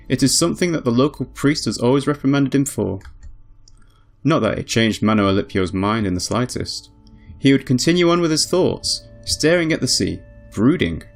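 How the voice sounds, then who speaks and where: A man narrating a visual novel aloud as a let's player, in an expressive storytelling style. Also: mid-range voice. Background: background music.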